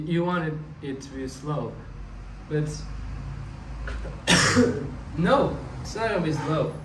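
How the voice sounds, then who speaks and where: A man's voice: fairly high pitch, distant mic. A young man around twenty talking through his thoughts in a casual, rambling monologue, often restarting his sentences. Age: young adult. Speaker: vlogger. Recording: echoey room, mic set back, faint ambient noise.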